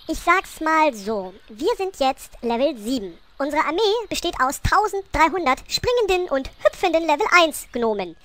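Woman playing a high, bright, bossy gnome queen. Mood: exasperated. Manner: sassy, commanding, exasperated. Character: bossy little pink gnome queen, scheming and flippant. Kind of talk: comic audio play acting.

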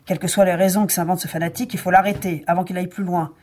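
A woman playing a stern police chief in a naturalistic audio drama, husky-voiced, dry, curt and authoritative. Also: on the low side, fairly even intonation, rapid-fire, a little mellow, natural voice. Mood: stern, exasperated.

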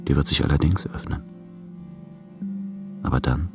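A man's voice: rumbling low, over music. An actor playing a weary, anxious man of thirty-eight in a brooding, intimate radio drama monologue. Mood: weary, uneasy. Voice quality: gravelly, husky. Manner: brooding, hushed, deliberate.

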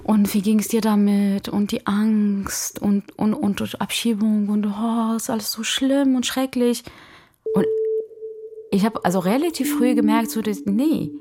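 A woman's voice: medium pitch, unhurried, background noise. A woman talking reflectively as an activist in an interview, emphatic and often seeking agreement with short tag questions. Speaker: migrant activist.

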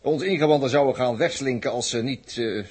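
A man's voice: medium pitch, brisk, darkish tone, slightly rough, lightly acted. An actor in a 1960s radio drama playing an informal spaceship crewman who rambles colloquially with small hesitations. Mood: explaining.

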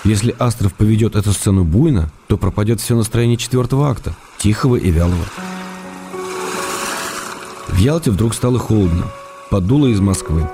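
A man playing an affectionate, gently ironic writer who reads his letters aloud in a radio drama. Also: on the low side, over music, natural voice. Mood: ironic, tender. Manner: tender, gently ironic, unhurried. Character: affectionate, teasing male writer writing letters. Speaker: reader of letters in a radio play.

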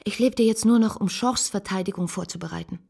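A woman in a radio drama playing a loyal, resolute sister, earnest and composed, with a husky voice. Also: mid-range voice, fairly quick, darkish tone. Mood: earnest resolve. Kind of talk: radio drama acting and narration.

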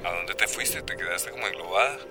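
A man's voice: low-pitched, brisk, pushed and bright, faint music behind. An actor with a Colombian accent playing an eager, pleading young man in love in a radio drama. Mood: concerned.

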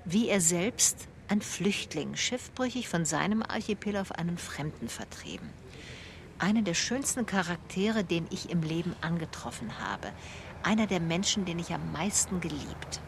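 A woman's voice: lowish voice, animated, moderate pace, a little hiss or hum. An actress playing a persistent, inquisitive female private detective in a radio drama. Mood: absorbed, reading. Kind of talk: radio drama dialogue and reading aloud.

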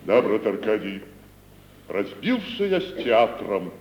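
An actor playing a proud, bullying provincial tragedian in an old radio play, declaiming with grand condescension. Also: male voice, lowish voice, sing-song, slow, dull audio, full character voice. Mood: wistful, grand. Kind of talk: mid-century Russian stage radio acting. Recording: noisy 1950 radio recording.

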